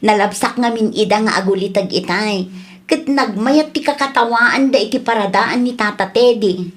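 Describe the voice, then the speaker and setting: A woman's voice: a little high, moderate pace, natural voice. A woman with an Ilocano accent playing a chatty, advice-giving village wife in a radio comedy drama. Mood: gossipy.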